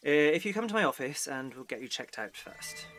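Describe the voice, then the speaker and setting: A man's voice: a little high, very animated, a little fast, a bit bright. A man playing a fussy, officious botanist in an audio drama, formal and stiff but easily flustered. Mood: officious, indignant. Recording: music behind the voice.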